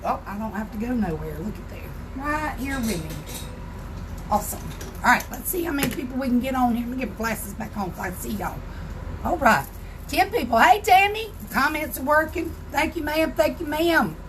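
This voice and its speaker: A woman with a Southern US accent and a hoarse, raspy voice, chatting casually through a live crafting tutorial from her home craft room and greeting viewers by name. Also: high-pitched, slight background noise, rough recording.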